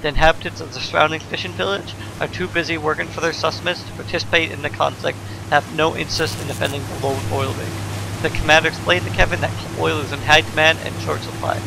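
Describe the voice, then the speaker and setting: A man's voice: a little high, steady tone, fast talker, gravelly, noisy background. A man giving casual commentary as the host of a gameplay video. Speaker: let's play host. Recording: game music behind the voice. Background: video game music.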